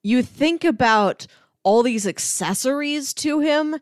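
A woman's voice: high-pitched, animated, slow, no room sound, studio quality. A woman co-hosting a film podcast, chatting casually in a conversational way. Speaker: podcast co-host. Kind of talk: casual film discussion chat.

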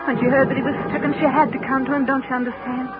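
A woman's voice: sits high, flat delivery, very fast, natural voice. An actress playing an earnest, compassionate woman who pleads against harsh judgment in a 1940s radio drama. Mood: earnest, pleading. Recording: tinny old radio, loud music.

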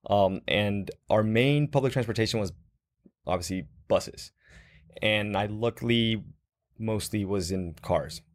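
A man's voice: lowish voice, unhurried. A young man from Guatemala talking casually in a group conversation, reflective, with many fillers and restarts.

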